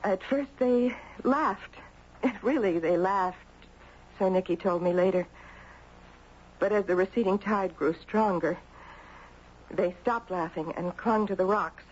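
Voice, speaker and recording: An actress playing a gracious, melancholy mother, speaking in a hesitant, measured and dramatic way in a 1970s radio drama. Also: medium pitch, natural voice. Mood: grave, haunted. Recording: dull noisy 1970s radio broadcast.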